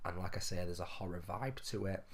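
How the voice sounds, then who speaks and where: Guy, gaming vlogger, very low gruff voice, hoarse from a cold, chatty. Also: a little fast. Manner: chatty, addresses viewers as ladies and gentlemen. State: hoarse, losing his voice. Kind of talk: casual game pickups vlog.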